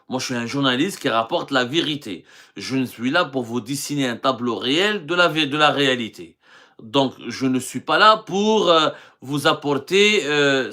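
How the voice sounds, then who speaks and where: A man's voice: mid-range voice, pushed and bright. A middle-aged man from Algeria, a journalist hosting a live stream and answering viewer questions in an earnest way, with frequent hesitations and restarts.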